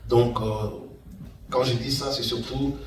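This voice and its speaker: A middle-aged man gives an informal talk as an entrepreneur, in a halting way, repeating words for emphasis. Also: medium pitch, fairly even intonation. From Democratic Republic of the Congo, Kananga. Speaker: guest speaker, engineer and entrepreneur. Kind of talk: informal public talk recounting a career path. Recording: echoey room, distant mic, faint noise.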